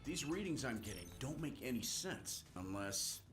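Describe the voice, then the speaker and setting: A man's voice: mid-range voice, sing-song, normal speed, natural voice. An actor playing an alert, worried male hero in a video game, serious and urgent. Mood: alarmed. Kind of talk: video game cutscene acting. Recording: loud music over the voice.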